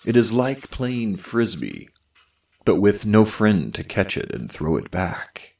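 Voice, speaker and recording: Deep, slow-spoken male storyteller with creaky voice. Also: very animated, darkish tone, far from the mic. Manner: deliberate, dramatic pauses, dryly tongue-in-cheek. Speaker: podcast presenter and history professor. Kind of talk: scripted storytelling narration of mythology.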